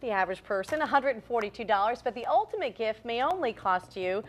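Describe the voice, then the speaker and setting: Woman anchoring morning TV news, highish voice. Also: expressive, fast talker. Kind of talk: broadcast news with casual banter.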